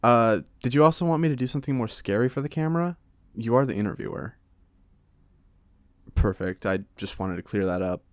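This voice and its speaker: A man's voice: mid-range voice, lively intonation, brisk, a little hiss or hum, lightly acted. A man playing a playful, chatty old vampire with a British accent in an ASMR audio roleplay, speaking in a theatrical, genteel, jokey way. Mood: playful, hospitable. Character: playful, chatty 127-year-old male vampire being interviewed.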